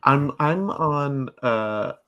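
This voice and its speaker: A man talks casually on a podcast in a middle-pitched voice. He has a wry way about him and likes to pose questions to the people he is talking with. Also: expressive, drawn-out words, fairly bright tone, highs cut off. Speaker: podcast speaker. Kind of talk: casual chat.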